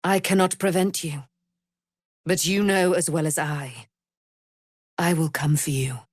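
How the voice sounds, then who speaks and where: A proud immortal warrior woman declaims in formal, archaic speech for a fantasy game. Her delivery is grand and unhurried, with every phrase given weight. Her voice sits a little low for a woman and carries a righteous, vengeful temper. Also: steady tone, no room sound, studio quality. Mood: stern. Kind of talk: fantasy video game character acting.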